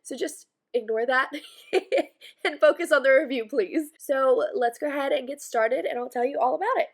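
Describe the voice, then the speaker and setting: A young woman reviewing a book in a casual vlog, chatty and gushing, her voice hoarse and stuffy from a cold. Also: high voice, normal speed. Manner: bubbly, chatty, gushing with fillers like "like".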